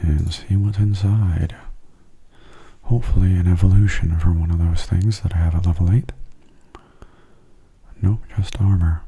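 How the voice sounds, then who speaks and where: A man speaks very softly and huskily, with a low, dark, mellow voice that sits close to a whisper. He gives calm, understated gameplay commentary in an ASMR style, never hurrying and keeping his delivery gentle. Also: unhurried, long pauses. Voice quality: soft, breathy, often near a whisper. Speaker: gaming video host.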